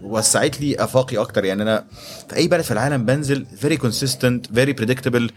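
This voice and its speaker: A man's voice: medium pitch, fast. A man in his thirties with an Egyptian accent, telling his story conversationally as an interview guest and mixing English business terms into his speech. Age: 30s. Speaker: podcast guest, startup executive.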